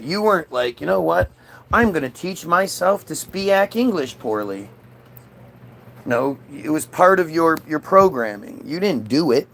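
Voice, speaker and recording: A man's voice: fairly high pitch, gravelly, a bit bright, slight background noise. A man in a casual, combative political rant, dismissive and sweary as he answers chat comments. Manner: frequent swearing.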